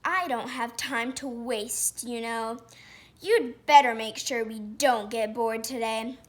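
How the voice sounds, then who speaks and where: Impatient, snooty storybook gentleman, acted by a woman, demanding and brusque, high voice. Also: takes it slowly. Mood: angry, demanding. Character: rude, impatient, haughty storybook grump of a man.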